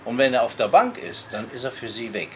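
An older man talks casually in a medium-pitched voice. He is frank and a bit halting, repeating words, on a location recording with clear background noise. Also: sing-song. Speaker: shopkeeper. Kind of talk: casual conversation.

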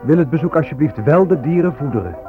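A man's voice: on the high side, animated, fast talker, natural voice. Man acting a defiant young student, phone-like radio sound. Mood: bitter, ironic. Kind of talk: Dutch radio drama acting, declaimed monologue and dialogue. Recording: phone-like old radio play, music behind.

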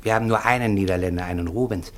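An actor playing a ponderous, dignified government minister in a German radio play, hesitant and pompous. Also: male voice, sits low, rapid-fire, slight background noise, natural voice. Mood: confiding, regretful.

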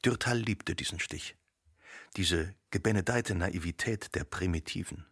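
A man with a gravelly voice narrating a literary radio drama from a script. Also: low-pitched, unhurried, fairly bright tone, no echo, studio quality, full character voice.

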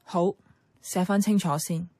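A woman's voice: fairly low pitch, fairly even intonation, a little slow. A woman with a Hong Kong Cantonese accent playing a guarded, blunt young office worker in a radio drama, speaking in a dry, matter-of-fact way. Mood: businesslike. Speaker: radio drama actress and first-person narrator. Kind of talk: radio drama narration and dialogue. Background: background music.

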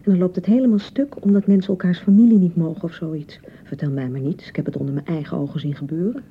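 An actress playing an insecure young newlywed wife in a radio drama, nagging and reproaching in repeated, sarcastic phrases. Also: female voice, lowish voice, fast, husky, dull audio. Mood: hurt, reproachful. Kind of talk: naturalistic radio drama acting.

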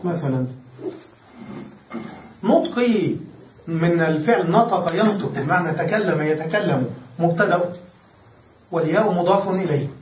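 A man's voice: sits high, animated, normal speed, long pauses, a little hiss or hum. A man teaching a classroom lesson who explains step by step, restates his points and keeps checking that his students follow. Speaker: grammar teacher. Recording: echoey room, distant lo-fi mic.

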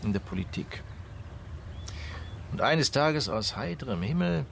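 An actor playing a dry-humoured, probing police inspector in a German radio crime drama, speaking deliberately with musing hums. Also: male voice, mid-range voice, sing-song, vocal fry, a little hiss or hum. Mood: musing, suspicious.

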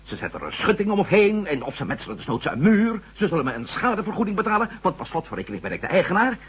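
Wry ex-astronaut reporter in old radio sci-fi play, swoopy animated voice, muffled hummy tape. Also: male voice, sits high, racing, breathy edge, exaggerated voice. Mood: wry, exasperated. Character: impulsive, wry male ex-astronaut turned reporter, adventurous. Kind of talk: Dutch science-fiction radio drama acting. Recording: dull, noisy old radio recording with hum.

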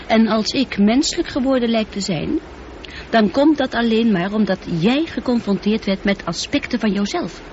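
An actress the playing a highly intelligent, humanlike female spaceship computer in a radio play, speaking in measured, articulate sentences. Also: expressive, darkish tone, natural voice. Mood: calm, analytical. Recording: dull noisy old radio play recording.

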